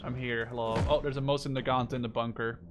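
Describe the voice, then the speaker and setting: A young man in his twenties casually commenting on an online shooter game as he plays, excitable and swearing, now and then breaking into shouts. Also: medium pitch, fast talker, over music. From Netherlands. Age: 20s. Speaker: gaming channel host. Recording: game gunfire and vehicle noise behind.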